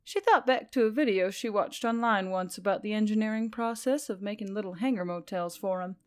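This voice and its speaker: A woman's voice: medium pitch, brisk. A woman reading scripted fiction as an audiobook narrator. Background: music.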